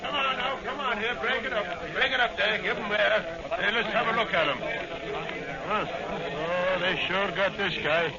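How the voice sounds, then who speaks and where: An actor playing a tough, brisk policeman in 1940s-style radio acting, commanding and clipped, with slangy speech. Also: sits high. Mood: urgent. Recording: muffled old-time radio recording, heavy crowd noise.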